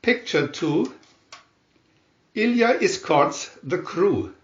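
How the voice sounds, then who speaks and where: A man reading scripted storybook narration in a deliberate, dramatic announcing manner. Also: high voice, lively intonation. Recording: dull, echoey room recording.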